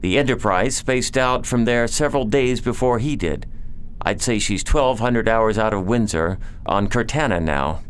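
A man's voice: on the low side, normal speed, slight background noise, natural voice. A man playing a sober informant who delivers factual reports in a science-fiction audio drama, in a matter-of-fact way.

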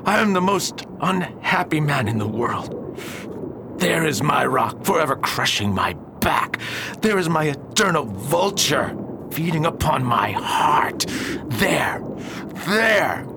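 An actor playing an obsessive, paranoid, bitter alchemist father in an old-fashioned radio drama, with halting, declamatory delivery. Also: fairly high pitch, sing-song, exaggerated voice. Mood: bitter fury. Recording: clearly noisy radio play recording.

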